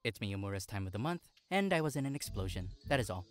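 A man's voice: lowish voice, very animated, quick pace. A man voicing a melodramatic, anxious teenage student council president in an anime fan dub. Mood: gloomy, dramatic. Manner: overdramatic.

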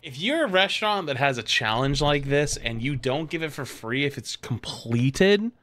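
A man's voice: medium pitch, lively intonation, moderate pace, a bit bright, slight background noise. A man in his thirties streaming a reaction, chatting bluntly with strong opinions into a headset mic. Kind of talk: casual live-stream reaction.

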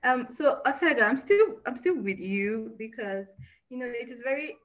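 A woman's voice: on the high side, lively intonation, normal speed. A woman moderating a webinar panel over a video call, polite and upbeat.

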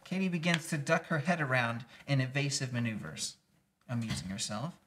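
A man's voice: mid-range voice, expressive, moderate pace, a bit bright, a little hiss or hum. A young adult man reading scene headings and descriptions aloud at a casual table read. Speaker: guest reader of scene descriptions. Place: small indoor room, seated at table.

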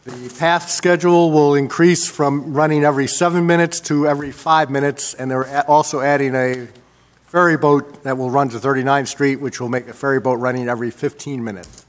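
A man in his sixties with an American accent gives measured mayoral remarks at a public meeting, pausing often as he works from notes. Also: medium pitch, fairly even intonation. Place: indoor council chamber. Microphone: gooseneck desk microphone.

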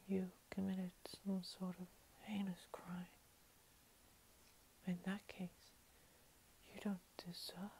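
A woman acts out a menacing female vampire cat-girl princess for an ASMR roleplay. Her whisper is soft, dark and low-lying, barely rising or falling. She is condescending and playful, toying with her prey. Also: creaky, low-quality recording. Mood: cold curiosity. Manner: slow, teasing, condescending, with lingering pauses. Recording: steady hiss.

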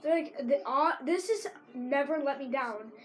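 High-voiced teen rambling over a game. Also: female voice, normal speed. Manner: rambling, with sudden excited shouts at plays. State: sore throat, losing voice. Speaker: gamer. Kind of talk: casual gameplay commentary.

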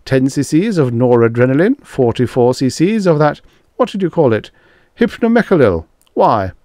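A nervous young doctor, acted by a male narrator in a dramatic audio story. His voice jumps high as he pleads and protests, flustered and defensive, in a close, dry recording. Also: sing-song, normal speed, darkish tone, no echo, natural voice. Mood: puzzled.

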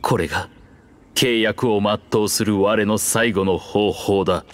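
An actor playing an ancient, proud dragon king in human form, speaking gravely and formally in a measured way for a game. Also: on the low side. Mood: solemn resolve. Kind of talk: Japanese game voice acting, archaic dramatic dialogue.

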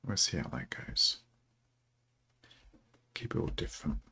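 A middle-aged man with a rough morning voice, thinking aloud slowly and haltingly with many ums while he codes at his desk. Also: a bass voice, big pitch swings, sharp tone. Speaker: programmer streaming a coding session.

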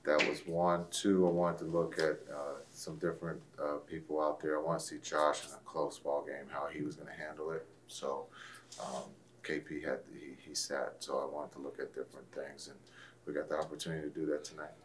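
Very deep-voiced coach, flat and measured. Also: male voice, slight background noise. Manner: measured, hesitant with fillers. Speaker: basketball head coach. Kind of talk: post-game press conference. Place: press room backdrop, indoors.

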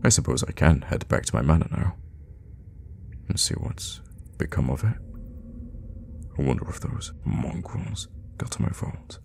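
Man playing a very deep, gravelly vampire lord. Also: animated, a little hiss or hum, heavily acted. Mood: wry, wistful. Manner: unhurried, theatrical, with drops into whispers. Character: teasing, sadistic male vampire lord, suave and menacing. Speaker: voice actor in an audio roleplay. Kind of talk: ASMR roleplay monologue.

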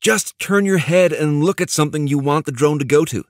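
Guy doing a showy eager tech geek dude for an audiobook, pitched up, teasing and cocky. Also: moderate pace, dry recording, studio quality, lightly acted. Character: excitable, cocky tech-whiz man, showy and playful.

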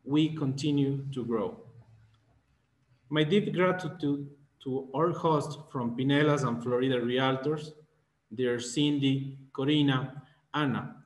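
A man in his thirties with an Ecuadorian Spanish accent, a native Spanish speaker giving a formal, measured welcome speech as an association president on a video call. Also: medium pitch. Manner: formal, measured, slightly halting English. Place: small plain room, indoors. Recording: echoey room on video call.

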